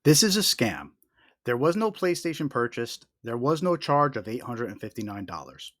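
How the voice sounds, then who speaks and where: A man reading a scripted explainer as host, with emphatic, deliberate delivery and short warning statements. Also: medium pitch, very animated, fairly bright tone, dry recording, clean studio sound. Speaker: host of a scam awareness video.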